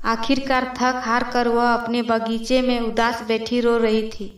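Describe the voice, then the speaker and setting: Female storyteller for cartoon fairy tales. Highish, flat delivery, echoey recording with music behind. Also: measured pace. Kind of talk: scripted narration of a moral fairy tale cartoon. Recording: roomy, distant voice over music.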